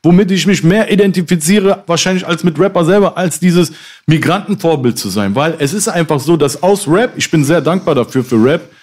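A man's voice: fairly high pitch, expressive, fairly quick, darkish tone. A man in his thirties, a rapper, talking through a topic in a hesitant, reflective way with many restarts. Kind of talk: casual conversation.

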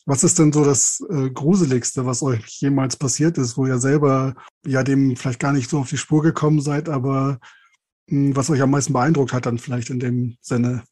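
A man's voice: medium pitch, fairly even intonation, normal speed, warm tone. A man hosting a podcast, interviewing in a casual, conversational style.

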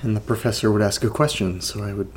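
A man playing an uneasy, reluctant character in a horror audio drama, speaking haltingly in a naturalistic monologue, as if muttering to himself. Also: fairly low pitch, a little hiss or hum, lightly acted. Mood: uneasy, subdued. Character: uneasy, reluctant man exploring a creepy place and reading strange letters. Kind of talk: naturalistic found-recording audio drama monologue, partly reading a letter aloud.

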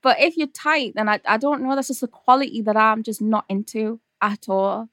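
Frank Geordie woman, higher voice. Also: normal speed, no echo, clean studio sound. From North East England. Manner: frank, laughing, expressive. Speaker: podcast guest. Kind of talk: casual chat.

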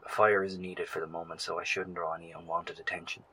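An actor playing an earnest male survivor recording a reflective, measured log in a post-apocalyptic horror audio drama. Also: deep voice, flat delivery, sharp tone, a little hiss or hum. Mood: tender, hopeful.

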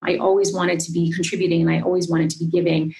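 A woman from Singapore, a podcast guest, telling her story in an effusive, animated way with frequent restarts. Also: fairly low pitch, fast talker. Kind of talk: interview, personal storytelling.

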